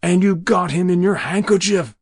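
Stubborn, uneasy farmer, played by a male storyteller: folksy, dogged, creaky and husky, for dramatic storytelling. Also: mid-range voice, sing-song, a little slow, no echo, clean studio sound, voice pushed up, heavily acted. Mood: shaken, defiant. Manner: folksy, plain-spoken, dogged.

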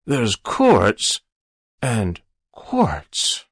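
A man voicing a smooth, sinister devil posing as a genteel businessman in a told story, with easy, unctuous, precise speech. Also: on the low side, huge pitch swings, drawn-out words, a bit bright, no room sound, clean studio sound, heavily acted. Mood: coolly businesslike. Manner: easy, unctuous, mock-pious, precise diction. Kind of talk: dramatic literary storytelling.

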